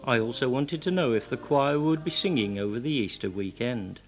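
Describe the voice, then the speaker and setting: A man's voice: mid-range voice, expressive, brisk, soft background music. A man interviewing a guest for radio, asking friendly, chatty questions. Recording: faint background noise.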